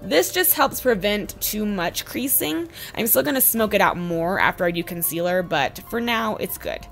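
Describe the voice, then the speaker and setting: A woman in her twenties with a slightly hoarse voice, giving a casual, chatty makeup tutorial voice-over. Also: medium pitch, lively intonation, moderate pace. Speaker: beauty vlogger, host. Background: background music.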